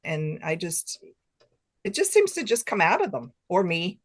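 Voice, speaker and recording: A middle-aged woman talks as a guest author in a casual chat. Her voice sits a little low, in a dry recording, and she thinks aloud informally. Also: huge pitch swings, unhurried, husky, no room sound. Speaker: author being interviewed.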